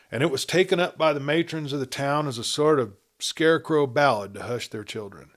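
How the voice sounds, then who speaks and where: A man reading a western story as a storyteller, in a measured, dramatic narration with emphatic swells and phrases that trail off. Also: mid-range voice, a bit bright.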